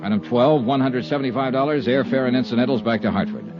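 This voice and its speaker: An actor playing a calm, firm male insurance investigator who narrates in a steady, clipped way and is firm when he confronts someone, in 1950s radio drama style, on a muffled old broadcast with music. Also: medium pitch, fast, natural voice. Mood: narrating. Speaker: narrator and lead.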